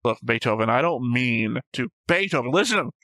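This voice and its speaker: A man with a medium-pitched voice talks casually as co-host of a reaction show. He rambles and jokes his way through tangents. The sound is close and dry. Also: animated, a little fast, sharp tone, no echo. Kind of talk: casual discussion and commentary.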